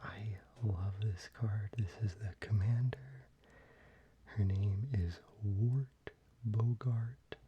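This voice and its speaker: A man speaking very softly in a breathy, halting near-whisper, gently presenting things as an ASMR video host. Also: on the low side, fairly even intonation, highs cut off, a little hiss or hum. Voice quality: soft, breathy, largely whispered. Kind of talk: soft-spoken ASMR, showing a card collection.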